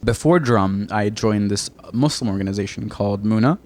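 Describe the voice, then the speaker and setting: A man's voice: fairly low pitch, normal speed, darkish tone, a little hiss or hum. A young man from Bangladesh, a community organizer, talking earnestly and casually as a podcast guest, full of "like" and "you know". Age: young adult. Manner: earnest, filler-heavy with frequent "like" and "you know". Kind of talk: casual interview talk.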